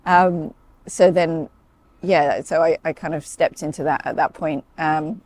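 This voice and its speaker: A woman's voice: deep voice, steady tone, measured pace, a little mellow, a little hiss or hum. A woman with a British accent, a paediatric doctor who grew up in Saudi Arabia, talking freely as an interview guest. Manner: chatty, talkative, many fillers and asides. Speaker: guest, paediatric emergency consultant. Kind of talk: casual interview chat.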